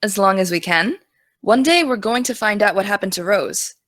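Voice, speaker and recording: Animated, teasing teenage girl played by a woman. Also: mid-range voice, normal speed, dry recording, studio quality, close to an everyday voice. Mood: keen, friendly. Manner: teasing, enthusiastic. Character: gung-ho teenage girl podcaster, teasing, friendly. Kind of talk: naturalistic audio drama acting.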